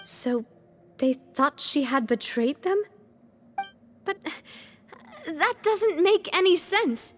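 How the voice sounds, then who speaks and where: An actress playing a thoughtful, strong-minded young boss in a video game, expressive as she reasons aloud. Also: very high-pitched, slow pace, pauses often, soft background music, heavily acted. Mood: questioning, firm.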